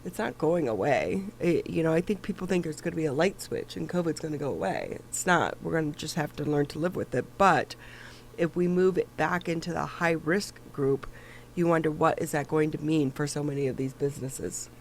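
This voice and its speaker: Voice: medium pitch, fairly quick, gravelly, slight background noise, poor audio. A talk show host with a stuffy nose, reading the news and chatting casually with a co-host, with lots of fillers and restarts. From Michigan.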